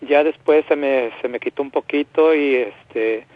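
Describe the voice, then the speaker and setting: A man calling a radio show over a tinny phone line, talking casually and politely in a halting, hesitant way. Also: medium pitch, dry recording.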